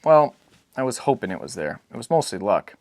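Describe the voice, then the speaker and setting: A man playing a weary, protective, gentle father in a dramatic audiobook reading, answering briefly, patiently and with some hesitation. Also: medium pitch, sing-song, brisk, dry recording.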